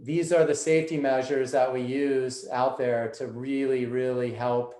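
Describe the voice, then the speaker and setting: A man's voice: mid-range voice, level tone, unhurried, mellow, reverberant. A man as a podcast guest, talking earnestly and loosely, with lots of fillers. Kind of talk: casual conversation.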